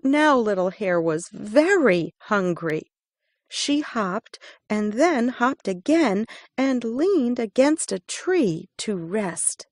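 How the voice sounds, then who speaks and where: A woman reading a children's picture book aloud, slow and expressive. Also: medium pitch, no echo, studio quality. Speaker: reader.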